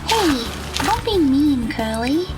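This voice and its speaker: A woman playing a gentle, earnest and eager teenage girl in an audio drama. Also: high voice, very animated, slow, over music, heavily acted, low-quality recording.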